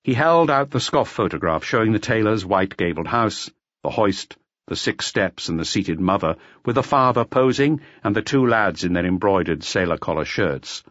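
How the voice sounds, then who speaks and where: A man's voice: on the low side, expressive, normal speed, fairly bright tone, dry recording, highs cut off. A man narrating an audiobook in a scripted, dramatic storytelling style.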